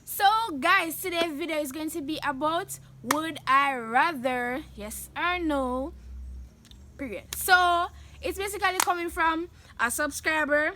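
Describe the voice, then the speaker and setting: A young Jamaican woman hosting her vlog, chatting animatedly and breaking into loud laughs and shouts. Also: sits high, unhurried, background noise. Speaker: vlogger host. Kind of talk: casual chat answering questions.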